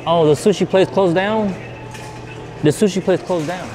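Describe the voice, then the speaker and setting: A man chatting casually to his viewers as he shops in a grocery store, thinking out loud and repeating himself. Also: high-pitched, moderate pace. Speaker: vlogger. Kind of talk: casual vlog chat.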